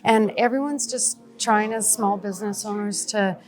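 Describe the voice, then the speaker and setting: A woman giving earnest, somewhat halting casual answers as an interview guest. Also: mid-range voice. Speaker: gallery owner and artistic director, interview guest. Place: busy art gallery reception. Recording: busy crowd chatter behind.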